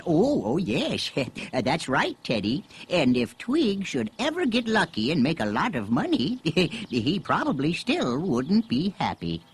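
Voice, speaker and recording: An eccentric old inventor creature with a very husky, highish voice. It swoops wildly as he stammers cheerfully and slowly through a children's story. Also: wildly swooping pitch, full character voice. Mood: chirpy, doddering. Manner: hesitant, chuckling, stammering. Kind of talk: children's story cassette voice acting.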